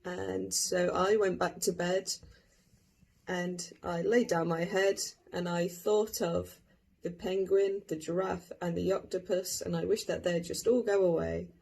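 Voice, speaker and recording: Relaxed, creaky-voiced woman telling a story. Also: lowish voice, a little slow. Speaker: participant in a story-retelling game. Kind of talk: retelling a children's-style rhyming story from memory.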